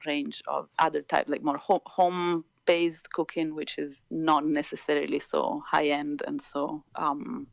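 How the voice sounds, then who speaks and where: A woman whose first language is Italian, answering interview questions thoughtfully as an academic guest, with frequent pauses and fillers. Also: deep voice, level tone, vocal fry, dry recording, highs cut off.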